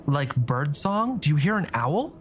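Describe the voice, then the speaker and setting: A man's voice: a little high, very animated, unhurried, a little hiss or hum. A timid, awkward young warden trying to sound in charge, as a man reads him in a storybook. he stammers and speaks stiffly, close to the reader's own voice. nerves keep showing through. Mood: flustered.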